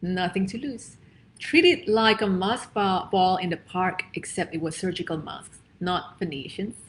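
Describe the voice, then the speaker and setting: A woman's voice: lowish voice, unhurried, slight background noise, natural voice. A woman reading as a wry, self-pitying jogger man. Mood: musing.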